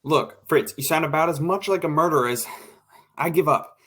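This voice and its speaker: A man's voice: a little high, fairly quick, fairly bright tone. A man acting in an old-time radio drama as an impatient, sarcastic, slangy radio director.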